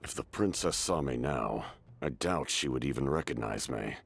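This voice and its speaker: An actor playing a weary, proud ancient warrior in a video game, with a very deep gravelly voice and a solemn, measured, formal delivery. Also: male voice, lively intonation, heavily acted. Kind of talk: dramatic game voice acting. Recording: game music behind.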